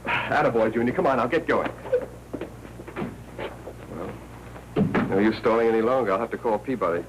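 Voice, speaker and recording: A man plays a jittery American newspaperman from a 1940s noir picture. His voice sits fairly low and his delivery is terse, slangy and snappish. The old film soundtrack adds light noise. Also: normal speed, close to an everyday voice. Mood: urgent, insistent. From United States. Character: jittery, snappish newspaperman worried over a missing girl.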